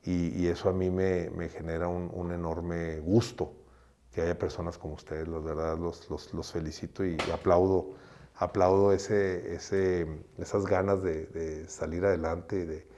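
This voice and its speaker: A middle-aged man from Mexico, a doctor giving an earnest interview, speaking slowly and often restarting his phrases. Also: deep voice, level tone, warm tone. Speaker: guest doctor.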